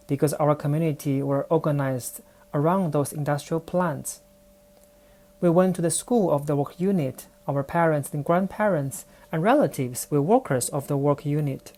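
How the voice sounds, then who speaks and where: A woman with a Chinese accent tells her own story in English. Her voice sits low and mellow, and her delivery is careful and reflective, like a podcast host speaking alone to her listeners. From China. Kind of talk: personal storytelling monologue.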